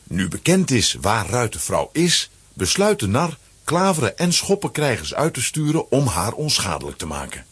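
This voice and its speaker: A man with a husky voice narrating a children's audio play as a dramatic scripted story. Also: mid-range voice, huge pitch swings, moderate pace.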